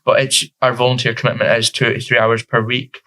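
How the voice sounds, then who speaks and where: A teenage Scottish boy talking about his volunteer role in a relaxed, informal way. His pitch sits in the middle, and he keeps his intonation flat and level. He leans on fillers as he goes. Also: a little fast. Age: teens. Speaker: youth councillor guest. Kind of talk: casual interview answers.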